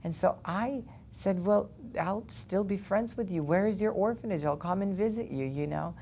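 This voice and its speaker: A young man speaks English with a gravelly, creaky edge. He tells his story earnestly, picking up speed in animated bursts, with a faint rumble in the background. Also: moderate pace. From Ukraine. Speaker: guest. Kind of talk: personal testimony in a studio interview.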